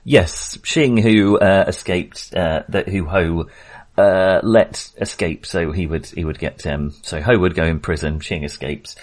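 A man's voice: low-pitched, unhurried. A man casually discussing a film as a podcast co-host, in a hesitant, rambling way.

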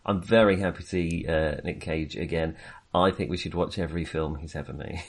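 A man's voice: low voice, steady tone, fairly quick. A man chatting casually as co-host of a film review podcast, speaking in a hesitant, self-qualifying way.

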